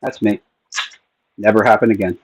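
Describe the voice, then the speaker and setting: A man's voice: lowish voice, steady tone, normal speed, no echo. A man co-hosting a livestream, chatting casually, playful and jokey. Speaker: livestream co-host. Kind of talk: casual chat.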